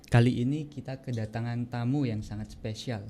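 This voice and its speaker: A young man hosting a podcast interview, relaxed and chatty, often repeating the guest's answers back. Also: mid-range voice, moderate pace, slight background noise. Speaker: podcast host.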